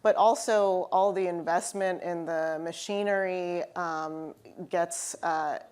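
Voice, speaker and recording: Low-voiced woman explaining chocolate trade. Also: steady tone, a little mellow. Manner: explanatory, measured, with fillers. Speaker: chocolate shop owner. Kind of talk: panel discussion. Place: live event venue.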